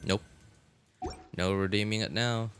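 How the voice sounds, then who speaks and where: Man, low voice, game streamer, casual commentary, halting and repetitive, music behind. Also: fairly even intonation, a bit bright. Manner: halting, repetitive, thinking aloud.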